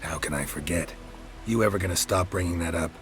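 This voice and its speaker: Stoic monster hunter, extremely low voice, clipped flat lines, game music behind. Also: male voice, brisk. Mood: terse. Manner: terse, clipped, flat delivery. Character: gruff male monster hunter, terse and unflappable. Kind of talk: video game voice acting.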